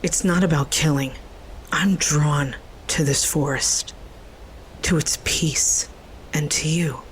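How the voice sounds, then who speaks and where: A woman's voice: sits low, fairly even intonation, slow, slight background noise, poor audio. A woman playing a bold, curious young girl, earnest and unguarded, in a fantasy audio drama. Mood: pleading.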